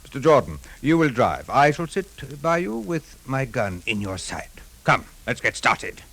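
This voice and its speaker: An actor playing a menacing, courtly foreign villain, formal and commanding, in a 1950s radio drama style. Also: male voice, mid-range voice, sing-song, measured pace. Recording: noisy 1950s radio broadcast recording.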